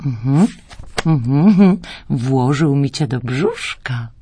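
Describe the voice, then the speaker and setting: An actress playing a gentle, fond mother in a radio play, explaining things softly to her young son. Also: extremely low pitch, animated, extremely slow, slight background noise, exaggerated voice. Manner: gentle, fond, soft chuckles.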